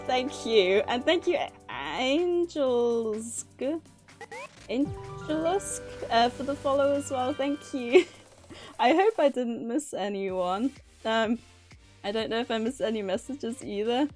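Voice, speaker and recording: Woman, higher-pitched voice, casual stream chat, nervous, giggly, flustered, very thankful, background music. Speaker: livestreamer and author hosting a stream. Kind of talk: casual live chat with viewers. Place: livestream, indoors at a computer.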